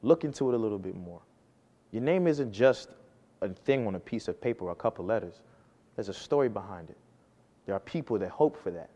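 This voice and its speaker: A man's voice: lowish voice, animated, fairly quick, dark tone. Earnest young man in a big hall. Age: early 20s. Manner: earnest, emphatic, rises and falls for effect. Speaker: speaker giving a talk to an audience. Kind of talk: personal storytelling speech.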